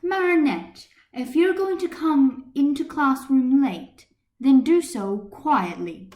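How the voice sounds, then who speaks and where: A woman's voice: high voice, expressive, slow pace, darkish tone, voice pushed up. A woman voicing a firm, orderly female schoolteacher with an instructive manner, in an amateur story reading. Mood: scolding.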